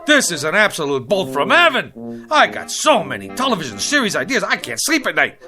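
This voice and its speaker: An actor playing an eager, pushy, luckless would-be writer, with an American accent and slangy, wheedling patter, in a comic drama. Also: male voice, sits high, huge pitch swings, brisk, pushed and bright, soft background music, heavily acted. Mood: excited pitching. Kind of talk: comic television drama acting.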